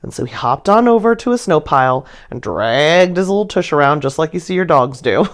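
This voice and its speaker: A woman's voice: sits low, animated, fairly quick. A woman telling stories and chatting casually to viewers in a playful, gushing way, often with a giggle in her words. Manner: giggly, laughs through sentences. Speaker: artist presenting own painting video. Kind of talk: casual storytelling chat, voice-over to viewers.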